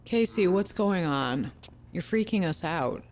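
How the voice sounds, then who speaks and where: A woman's voice: on the low side, animated, measured pace, a little mellow, a little hiss or hum. A woman acting in an audio drama as a concerned, encouraging young band member. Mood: concerned, impatient.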